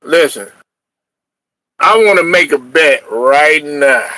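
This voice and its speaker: Man, sports talk host, bright forceful tone, fairly high voice, animated, trash-talking. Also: slow, no room sound, rough recording. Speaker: podcast host. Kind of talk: casual sports chat.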